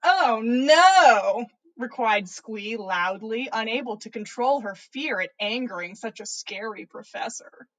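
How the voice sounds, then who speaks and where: Woman, higher-pitched voice, playful storyteller, amused, expressive, theatrical read-aloud. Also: poor audio. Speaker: podcast host reading listener stories. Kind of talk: playful read-aloud of comedic fan fiction.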